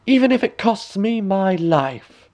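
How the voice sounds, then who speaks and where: A man voicing a wicked, vain old queen who coaxes slyly in a theatrical, drawn-out way for a storybook. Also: high-pitched, very animated, a little mellow, pitched above the performer's own voice. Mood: wicked, gloating.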